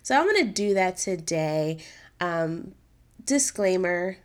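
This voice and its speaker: A young adult woman hosting a solo podcast in a casual, chatty way, her voice a little raspy from allergies. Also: fairly low pitch, lively intonation, slow pace. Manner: chatty, upbeat, informal slang. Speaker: podcast host.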